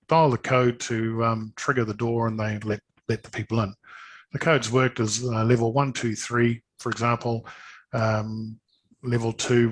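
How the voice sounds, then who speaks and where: A man giving an informal sales presentation of products, speaking hesitantly and haltingly, often repeating words. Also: mid-range voice, fairly bright tone.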